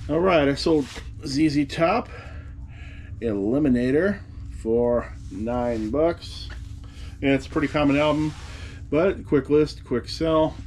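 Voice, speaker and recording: A man's voice: fairly high pitch, slow, long pauses, a little mellow, slight background noise. A man in his forties, a reseller vlogger, talking to the camera from behind a desk in a cluttered room, with a wry, rambling manner. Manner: chatty and wry, rambling asides. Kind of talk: casual talk to camera. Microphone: camera in front of him at the desk.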